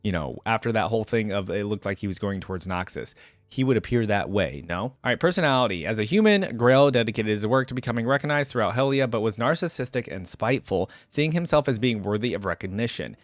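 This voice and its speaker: A man's voice: lowish voice, lively intonation, fast talker, no echo, clean studio sound. A man hosting a reaction video, switching between reading aloud and casual, conversational commentary as he thinks aloud.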